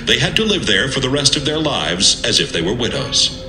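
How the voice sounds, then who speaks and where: A man narrating scripture from a script in a measured, dramatic storytelling manner. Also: medium pitch, very animated, sharp tone. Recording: echoey, music bed behind.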